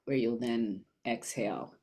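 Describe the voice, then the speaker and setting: A woman with a low-pitched voice leads a guided meditation. She speaks very slowly and gently, leaving long, unhurried pauses between short phrases.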